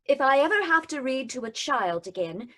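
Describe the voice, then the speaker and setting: A woman playing a sharp-witted female companion in a radio drama, wry and questioning. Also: sits high, very animated, normal speed, heavily acted. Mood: curious. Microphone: home mic over video call.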